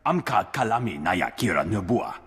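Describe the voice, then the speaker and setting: An actor voicing a gruff alien gangster who speaks an alien tongue in a rough, gravelly voice with swooping delivery. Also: male voice, fairly low pitch, sing-song, normal speed, fairly bright tone, exaggerated voice. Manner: swooping, growled alien speech. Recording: game audio with long reverb.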